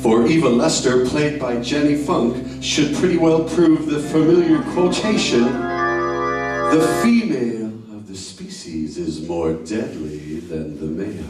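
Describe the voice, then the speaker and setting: A man's voice: medium pitch, slow, close to an everyday voice. A man playing a formal, dramatic 1940s radio mystery host, reading scripted narration. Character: suave male radio mystery show host. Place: indoor theater stage. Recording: very echoey stage, distant mic, music behind.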